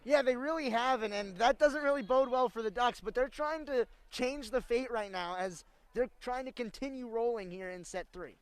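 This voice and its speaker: A woman's voice: a little high, moderate pace, hardly any pauses, slight background noise. A young woman giving live color analysis during a radio broadcast of a volleyball match. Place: sports arena broadcast.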